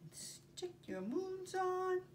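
An older woman presenting story time for young children, speaking in simple, deliberate phrases with pauses as she reads and demonstrates. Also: very high voice, sing-song, faint music behind. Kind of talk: read-aloud and craft demonstration for young children. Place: carpeted home living room. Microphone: camera a few feet away. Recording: distant mic, faint room noise.

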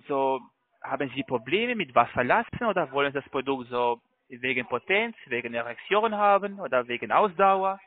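A high-pitched man with non-native German makes a sales call over a tinny phone line. He is polite and effusive, following a loose script. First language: not German. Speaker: phone salesman, product adviser.

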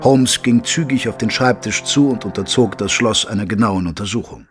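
An actor playing an observant gentleman who narrates an audio drama in a measured, literary manner. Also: lowish voice, natural voice. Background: music.

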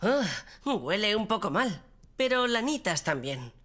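A bright, cheeky cartoon boy. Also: mid-range voice, sing-song, a little slow. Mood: eager. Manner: eager, scheming. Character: cheeky mischievous young boy. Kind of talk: cartoon dubbing.

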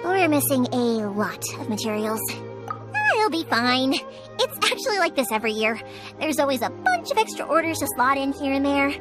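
A woman voicing a talkative, eager young fireworks maker in a video game, expressive and rambling. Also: sits high, normal speed. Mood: chatty. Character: bubbly, chatty young fireworks-maker girl, warm and eager. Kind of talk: video game character acting. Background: game background music.

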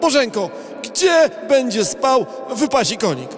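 An elderly beetle chairman for an audio play, extremely high and slow. He is folksy and condescending, as if everyone were a child. Long reverb surrounds the voice. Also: very animated, exaggerated voice. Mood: dismissive. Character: patronising old male beetle council chairman. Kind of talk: audio drama acting.

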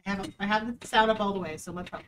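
A woman's voice: mid-range voice, rapid-fire, far from the mic, a crowd in the background. A young woman, a dog trainer, chatting casually and wryly as she reads a news story aloud and comments on it. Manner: chatty, wry, reads article aloud with asides.

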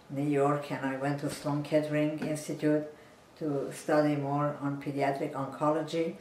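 A woman in her nineties from Iran, calmly telling her life story in a seated interview on a living room sofa. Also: low voice, slight background noise. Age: 90s. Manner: measured, plain storytelling, seated and still. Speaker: interviewee, physician. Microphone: camera at a distance. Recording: roomy, distant home recording.

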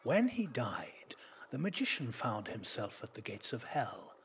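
A man reading a folk tale as a storyteller, in a dramatic, deliberate manner. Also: mid-range voice, wildly swooping pitch, fairly bright tone, breathy edge.

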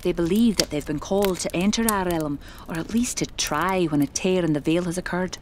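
An actress playing an eccentric, flighty and solemn psychic medium in an audio horror drama, with flowery, genteel speech. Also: female voice, on the low side, fairly quick, noisy background, natural voice. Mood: flustered.

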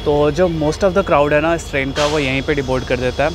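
A man's voice: a little high, steady tone, normal speed. A young man chatting enthusiastically to his viewers as a railfan vlogger, filming from the open door of a moving train on a phone. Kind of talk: casual travel vlog commentary. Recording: noisy train ride recording.

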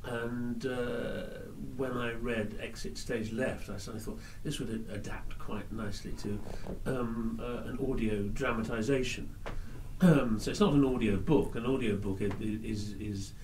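An actor being interviewed who talks in an animated, chatty way with frequent restarts. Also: male voice, medium pitch, moderate pace, distant mic, a little hiss or hum, rough recording. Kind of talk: casual interview talk.

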